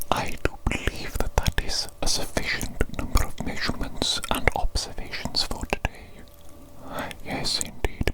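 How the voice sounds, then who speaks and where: A woman voicing a fussy, gleeful evil doctor in a whispered ASMR roleplay, softly repeating her phrases. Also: natural voice, low-quality recording.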